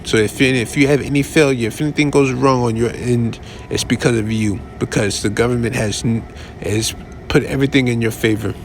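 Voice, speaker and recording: Halting, conversational podcast man. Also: mid-range voice, steady tone, slight background noise. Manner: conversational, halting with restarts and asides. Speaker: podcast host. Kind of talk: casual commentary.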